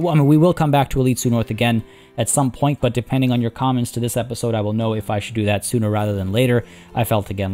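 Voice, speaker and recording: A man with a lowish, mellow voice, hoarse from hours of talking, rambles casually through a let's-play session. He often restarts his sentences and fills the gaps as he goes. Soft music plays faintly behind him. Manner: rambling, chatty, many restarts and fillers. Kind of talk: casual gameplay commentary.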